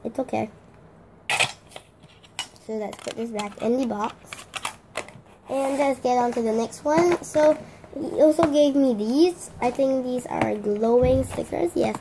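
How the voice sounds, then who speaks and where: A teenage girl with a high, slightly gravelly, hoarse voice chats through her unboxing vlog. She rambles with many fillers and restarts. The recording was made off camera in a small room with a faint hiss. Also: a little slow, long pauses. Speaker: vlogger.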